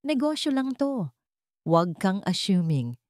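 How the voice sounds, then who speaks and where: A woman's voice: mid-range voice, sing-song, measured pace, a little mellow, dry recording, studio quality. A woman voicing a shy, polite, hardworking mother in dramatized story dialogue, with a Filipino accent. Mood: self-scolding.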